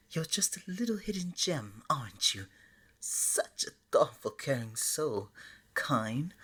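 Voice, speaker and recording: A woman voicing a flirty, affectionate god of love in a playful, coaxing ASMR romance roleplay, with a breathy delivery. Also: sits low, heavily acted. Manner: coaxing, playful, pet names like sweetie and honey.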